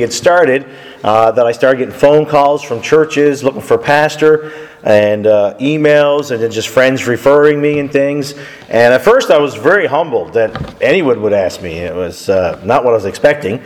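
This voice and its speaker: A man in his thirties, hoarse after a camp week, opening a sermon as guest preacher in a friendly, chatty way. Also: medium pitch, expressive, moderate pace. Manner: friendly, chatty, with frequent fillers. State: hoarse, deeper than usual. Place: church sanctuary. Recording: church hall reverb.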